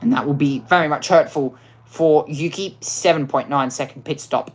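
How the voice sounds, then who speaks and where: A woman commentating a racing game live, excitable, often repeating and restarting phrases, her voice worn out. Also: sits low, normal speed, husky.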